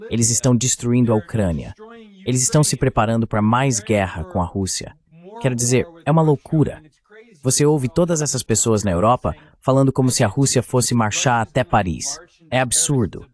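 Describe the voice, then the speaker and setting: A man's voice: mid-range voice, a little fast, a little mellow. A man speaking as an analyst in a dubbed political interview, making his points in an emphatic, argumentative way. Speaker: guest geopolitical analyst. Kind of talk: political commentary, dubbed voice-over.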